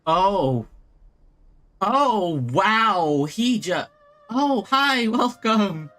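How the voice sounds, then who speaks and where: A man chatting casually as he streams a game, halting as he thinks aloud. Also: high-pitched, animated. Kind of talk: casual chat while playing a game. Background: soft game music.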